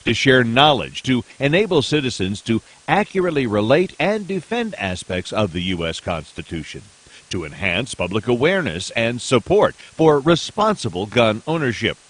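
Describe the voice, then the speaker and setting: A man's voice: mid-range voice, low-quality recording. A man delivering a scripted promotional message for radio as an announcer.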